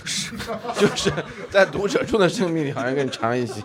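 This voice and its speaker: A middle-aged man chatting as a podcast guest, his pitch rising and falling freely. He talks in a measured, self-deprecating way and laughs easily between thoughts. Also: very animated, a crowd in the background. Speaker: guest, former literary editor. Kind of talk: casual podcast chat.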